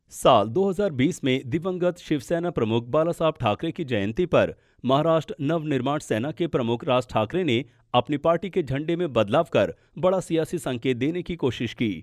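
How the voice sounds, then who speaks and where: A man's voice: fairly high pitch, a little mellow, dry recording, studio quality. A man narrating a scripted political explainer as a news podcast presenter.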